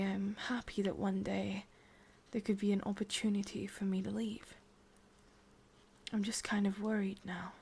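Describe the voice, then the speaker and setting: Woman doing a english witch asmr roleplay, obsessive and lovesick, super slow breathy whisper w lots of creak. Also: medium pitch. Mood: calm, resigned. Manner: slow, hushed, intimate delivery with pauses. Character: lovelorn, obsessive immortal witch, wistful and gently coaxing.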